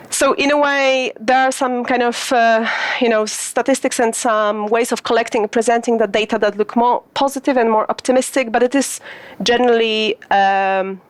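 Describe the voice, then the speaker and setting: A woman from Poland whose native language is Polish, answering interview questions about translation in a thoughtful academic way, with many fillers and restarts. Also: mid-range voice. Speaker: guest, translation studies lecturer.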